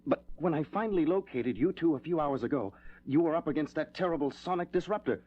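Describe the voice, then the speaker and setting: An actor playing a gentle, troubled scientist husband in a 1970s superhero audio drama, soothing and explanatory. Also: male voice, on the high side, quick pace, lightly acted. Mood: apologetic, earnest. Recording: dull 1970s record with music.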